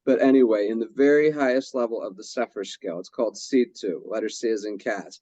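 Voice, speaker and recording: A man's voice: on the low side, brisk, warm tone, no echo. A man presenting a workshop who guides the discussion and rephrases what participants say. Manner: sums up and rephrases what others say. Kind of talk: leading a group discussion. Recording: dull lo-fi sound.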